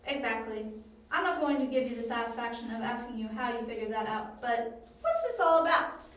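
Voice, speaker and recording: A spirited young female informant, played by a woman in a homemade radio play, high in pitch and chatty with a cheeky, grudging edge. She sounds distant from the mic, in a strongly echoing room. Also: animated, a little fast, lightly acted. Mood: wry, eager. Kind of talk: amateur audio drama acting. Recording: distant, very echoey, faint room noise.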